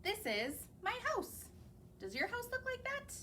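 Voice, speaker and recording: A woman's voice: very high-pitched, wildly swooping pitch, unhurried, pushed and bright, a little hiss or hum. A young woman, a children's librarian, reading picture books aloud in a library in a sing-song way and asking the children questions. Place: public library.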